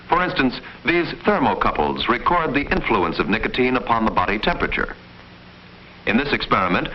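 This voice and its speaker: A man reading formal, measured, didactic scripted narration in the style of a mid-century educational film. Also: mid-range voice. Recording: muffled, noisy old film soundtrack.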